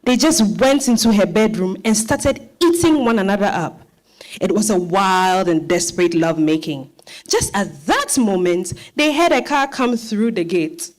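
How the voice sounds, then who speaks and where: A woman's voice: mid-range voice, expressive, normal speed, a little mellow, low-quality recording. A woman with a Ghanaian accent reading scripted narration as the narrator of a dramatised stage reading.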